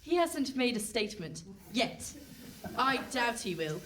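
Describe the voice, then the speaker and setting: A woman's voice: fairly high pitch, very animated, measured pace, fairly bright tone. A woman playing a cool, confident young private investigator with a dry manner, in a comic radio play on stage. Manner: dry, matter-of-fact. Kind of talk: comic radio play before a live audience. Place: hall stage with a live audience. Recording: distant stage pickup with some noise.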